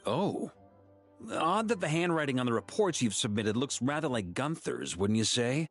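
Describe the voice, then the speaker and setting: A man's voice: mid-range voice, big pitch swings, normal speed, soft background music. A man playing an exasperated but good-natured veteran knight commander in a game, authoritative and paternal. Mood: paternal, firm. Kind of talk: game voice acting.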